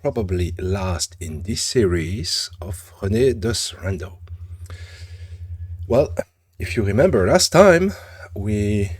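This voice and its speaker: A man with a French accent rambles through gameplay commentary, stopping often to rephrase himself. His voice is husky, with a low pitch and a slow, unhurried pace. The sound is dry and close, with no room echo. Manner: rambling, chatty, frequent self-corrections. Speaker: gaming channel host.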